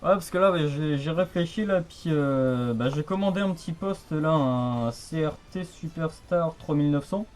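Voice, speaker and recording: A man's voice: a little high, moderate pace, hardly any pauses, darkish tone, a little hiss or hum. A young man chatting casually over the radio in a rambling, repetitive, colloquial way. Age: young adult. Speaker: radio hobbyist, channel host. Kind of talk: casual radio contact chat.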